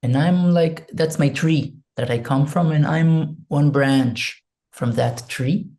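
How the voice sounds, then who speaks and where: A man from Israel with an Israeli accent, speaking in English as an interview guest, soft and hesitant, searching for words and leading a quiet prayer. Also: on the high side, slow. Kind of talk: slow reflective interview talk with a spoken prayer.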